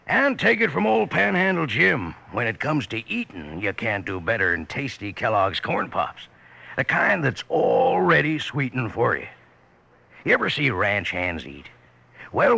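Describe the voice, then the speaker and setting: An actor playing a hearty old cowboy announcer with a Western American accent and gravelly voice, giving a vintage radio pitch. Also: male voice, pushed and bright, full character voice. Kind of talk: 1950s radio advertising pitch.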